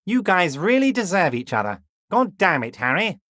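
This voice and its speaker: Scheming, chuckling middle-aged union boss, folksy and condescending, with a lively swooping delivery and bone-dry studio sound. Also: male voice, high-pitched, normal speed, no echo, exaggerated voice. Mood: sly, jovial. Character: smooth, scheming, jovial male union boss. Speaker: video game character. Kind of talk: game dialogue voice acting.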